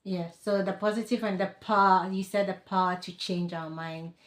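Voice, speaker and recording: A woman hosting a podcast interview, asking questions in a warm, encouraging way. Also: on the low side, level tone, normal speed, roomy sound. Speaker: podcast host. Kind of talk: casual interview.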